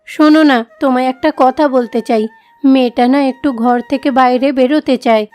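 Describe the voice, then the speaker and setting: Woman doing a cartoon village mom, high voice, dutiful and gently persuasive, light music under it. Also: fairly even intonation, normal speed, close to an everyday voice. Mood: coaxing. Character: dutiful, gently persuasive village mother, middle-aged wife. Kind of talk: animated moral story dialogue.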